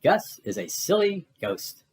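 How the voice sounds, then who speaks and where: A woman reading children's storybooks aloud as an animated narrator, with exaggerated expression and music behind her. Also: fairly low pitch, measured pace, husky. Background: music and sound effects.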